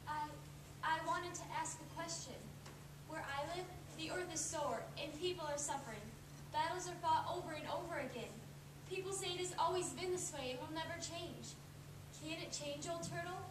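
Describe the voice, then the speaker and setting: A woman's voice: extremely high pitch, moderate pace. A woman playing an earnest, wondering little girl in a storybook readers theatre performance, with a pleading, hesitant manner. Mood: earnest, worried. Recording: echoey room, distant mic, noisy.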